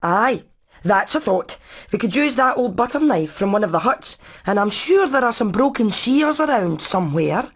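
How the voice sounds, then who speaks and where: A woman's voice: mid-range voice, expressive, normal speed, lightly acted. A woman voicing a brainy, practical hen engineer in a video game, with a Scottish accent and a technical, matter-of-fact way of talking. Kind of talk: animated video game acting.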